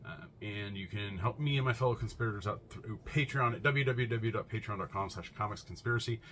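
A man's voice: on the low side, fairly quick, a bit bright, a little hiss or hum. A man in his thirties vlogging casually to camera from a shelf-lined room at home, rambling with lots of fillers and restarts. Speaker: comic shop vlogger.

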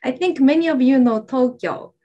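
A young woman with a Japanese accent, an admissions officer giving a friendly, halting presentation with fillers over a video call. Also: sits high, dull audio. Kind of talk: online information session presentation. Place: indoors, virtual campus backdrop.

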